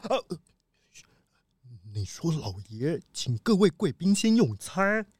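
Fussy butler, stammery and proper, big pitch jumps, close dry sound, kids drama. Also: male voice, sing-song, slow, darkish tone, husky, no echo, heavily acted. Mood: formal, fussy. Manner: stammering, formal and servile. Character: fussy, flustered, deferential butler at animal estate. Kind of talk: children's audio drama acting.

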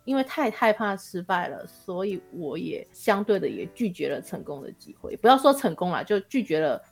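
A woman's voice: lowish voice, very animated, moderate pace, creaky, faint music behind. A Taiwanese woman in casual podcast chat, speaking candidly and self-deprecatingly as a guest author.

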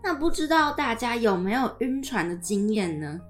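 A woman's voice: fairly high pitch, big pitch swings, normal speed, soft background music. A woman co-hosting a podcast in an agreeable, explanatory way, between scripted introduction and casual chat. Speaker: podcast host.

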